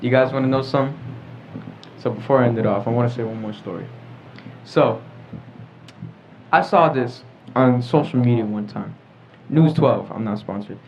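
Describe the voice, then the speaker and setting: A man's voice: mid-range voice, moderate pace, a little hiss or hum. A teenage boy hosting a casual podcast chat, repeating himself as he steers the talk. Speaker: teen podcast host. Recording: dull lo-fi with loud music.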